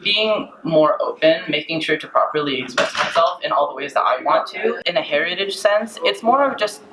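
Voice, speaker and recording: A teenage boy, a high school junior, talking casually with fillers in a documentary interview. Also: expressive, normal speed, noisy background. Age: teens.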